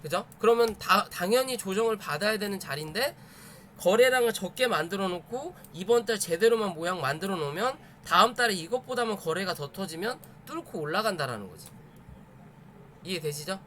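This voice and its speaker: A man's voice: high-pitched, a bit bright, a little hiss or hum. A man giving an informal live lesson as host, emphatic, repeating phrases and checking understanding with rhetorical questions. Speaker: host of a stock chart study stream. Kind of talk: informal live lesson, explaining stock charts.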